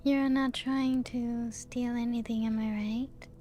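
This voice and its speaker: A woman playing a playful, teasing vampire who guides guests round her ancient castle in soft-spoken ASMR roleplay, hushed and often slipping into whispers. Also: fairly high pitch, fairly even intonation, slow. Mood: wistful, playful. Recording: music playing behind the voice. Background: background music.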